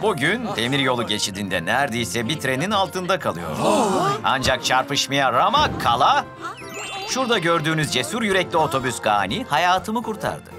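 A man's voice: mid-range voice, sing-song, normal speed, natural voice. A self-assured celebrity sports car from a kids' cartoon, played by a man. The voice is theatrical and leaps widely up and down, with music playing behind it. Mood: proud, grateful. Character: famous male sports car star, showy and dramatic. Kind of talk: dubbed children's cartoon acting.

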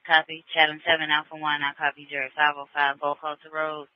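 Casting: male police dispatcher, flat delivery, clipped and procedural, over dull lo-fi radio. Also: a little high, brisk, no echo.

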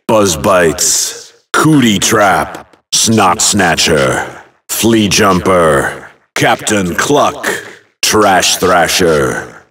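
An actor playing a grave, imposing fantasy video game announcer, calling out names one at a time in emphatic, theatrical bursts. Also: male voice, deep voice, fairly bright tone, recorded from a distance, heavily acted.

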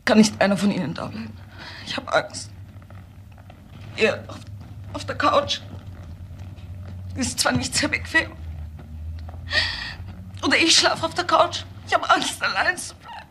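A woman's voice: high-pitched, sing-song, a little slow, long pauses, sharp tone, slight background noise, heavily acted. An actress playing a frightened, pleading young woman in a German crime radio play. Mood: afraid, pleading.

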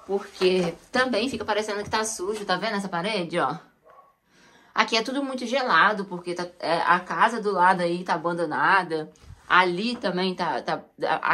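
A woman's voice: lowish voice, a bit bright. A woman chatting to viewers as she walks through her house, pointing things out, filmed on a handheld phone. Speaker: home vlogger. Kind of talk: casual home tour chat. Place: cold stone house basement, indoors. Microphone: handheld phone camera.

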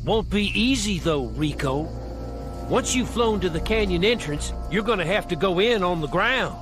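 An actor playing a jovial, middle-aged American secret agent with an American accent and a folksy, breezy way of talking, in a video game. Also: male voice, high-pitched, lively intonation, normal speed, music in the background. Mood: briefing, confident. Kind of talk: video game cutscene acting.

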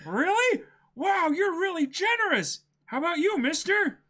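A man puts on a naive young pirate captain for a manga reading. The voice is pushed high and swings wildly up and down. He is loud, blunt and bursting with eagerness. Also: sing-song, moderate pace, full character voice. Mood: eager, blunt. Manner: exuberant, shouting, blunt.

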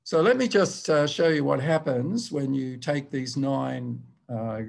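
Gravelly older man lecturing. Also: mid-range voice, unhurried. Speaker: university professor giving a lecture. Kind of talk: academic lecture over slides. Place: indoors, on a video call.

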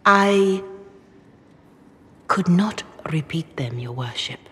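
A woman's voice: lowish voice, animated, slow pace, a bit bright. An actress playing a solemn, formal revered mother in a fantasy game, deferential yet guarded. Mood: apologetic. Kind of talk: video game cutscene dialogue. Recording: long reverb, noisy ambience behind.

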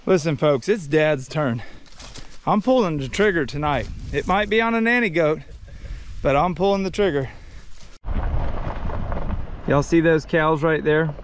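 A man's voice: a little high, expressive, unhurried, pauses often, slight background noise. A man, a father vlogging a hunting trip outdoors in a folksy, upbeat way on a camera mic. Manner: folksy, upbeat, talks to viewers. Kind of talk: casual outdoor vlog narration. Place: outdoors in woods and fields. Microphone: action camera held or worn.

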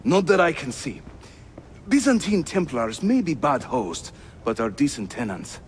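An actor playing a jovial, roguish Turkish assassin, a teasing mentor with a Turkish accent and playful delivery in a video game. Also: male voice, on the high side, a little slow, a little hiss or hum. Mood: breezy, helpful. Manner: playful, animated. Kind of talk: cinematic video game acting.

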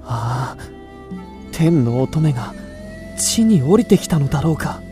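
An actor playing an earnest, lovestruck young widower of old Japan in a radio drama, speaking politely and formally. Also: male voice, fairly high pitch, very animated, measured pace, mellow, soft background music. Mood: smitten, courteous. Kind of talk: radio drama acting of a ghost tale.

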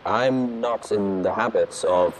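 A man performs a shy watcher speaking through a robot. The voice is tinny, artificial and halting, a timid storybook character. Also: on the low side, slight background noise. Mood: hesitant.

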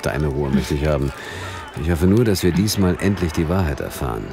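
An actor playing a wry, grumbling police detective in a German audio drama, with a dry, sardonic delivery. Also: male voice, extremely low pitch, expressive, fairly quick, over music. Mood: grumbling, impatient. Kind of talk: German audio drama acting, partly narrating.

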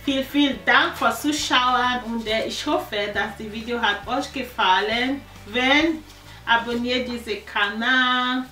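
A woman in her forties reviewing a skin serum from her sofa, in simple, halting German full of fillers. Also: on the high side, sharp tone. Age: 40s. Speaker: beauty vlogger. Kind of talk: casual product review. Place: living room, seated on a sofa. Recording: echoey room, distant mic, faint music.

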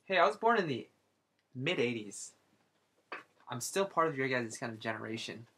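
A man's voice: mid-range voice, lively intonation, moderate pace, pushed and bright, a little hiss or hum. A man in his late twenties chatting casually and jokingly while he draws, rambling and teasing his viewers. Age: 20s. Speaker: artist and channel host. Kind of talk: casual chat while drawing.

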